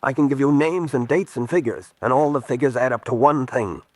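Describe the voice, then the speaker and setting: A man's voice: medium pitch, brisk, no room sound, close to an everyday voice. Man acting hunted American secret agent, desperate, intense, urgent pleading, dramatic radio play delivery. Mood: insistent.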